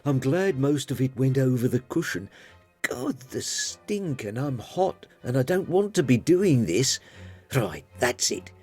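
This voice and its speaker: A man voicing a moody, tired, snappish young girl in a breathy, childlike manner for an audiobook. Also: medium pitch, big pitch swings, a little slow, a little mellow, soft background music, pitched above the performer's own voice. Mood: disgusted, miserable.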